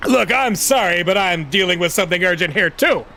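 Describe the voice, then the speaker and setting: A male narrator voicing an impatient, brash adult man in a storybook reading, with a loud, raised, strained voice. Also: sits high, lively intonation, fairly quick, vocal fry, a little hiss or hum, pitched above the performer's own voice, heavily acted. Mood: curt.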